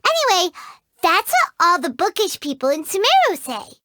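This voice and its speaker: A woman's voice: very high voice, huge pitch swings, moderate pace, background noise, exaggerated voice. An actress voicing a tiny, bubbly floating female companion in a video game, who talks about herself in the third person.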